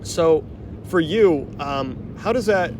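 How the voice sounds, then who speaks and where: A young man interviews his guest in a loose, friendly manner, circling back and restarting his questions. His voice is a touch high for a man. A clear rumble of vehicles and water traffic runs behind him outdoors. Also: expressive, slow. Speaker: interviewer and show host.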